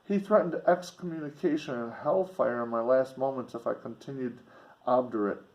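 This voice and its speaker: A man's voice: mid-range voice, moderate pace, a little mellow, muffled recording, lightly acted. A man voicing a pious, wretched young woman condemned to die in a gothic novel, pleading in anguished, old-fashioned speech. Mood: remorseful, desperate.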